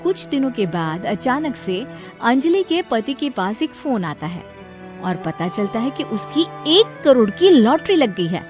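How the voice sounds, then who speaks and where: A woman's voice: on the high side, big pitch swings, measured pace. A woman reading scripted narration for a cartoon story as its narrator. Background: background music.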